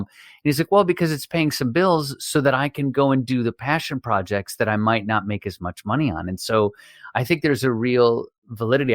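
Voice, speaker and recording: A man's voice: mid-range voice, no room sound, clean studio sound. A man speaking casually and conversationally as a podcast host.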